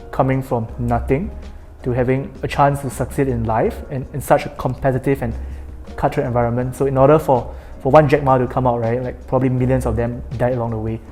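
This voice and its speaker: A man's voice: medium pitch, a little mellow. A man from Singapore speaking thoughtfully on a panel, with some hesitations. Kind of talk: panel talk.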